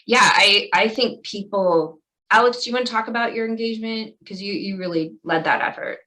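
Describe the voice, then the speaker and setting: A woman's voice: medium pitch, fairly quick, sharp tone, rough recording. A woman speaking informally and candidly as a city official chairing a conference call.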